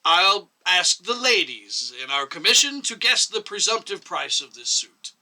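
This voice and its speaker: A forceful, piercing chairman played by a man reading a story aloud, stern and sarcastic. He interrogates with swooping emphasis, bearing down on whoever sits before him. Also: high voice, very animated, a little slow, voice pushed up, heavily acted, low-quality recording. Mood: dryly conciliatory. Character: stern, sarcastic chairman of a disciplinary commission, an overbearing male doctor.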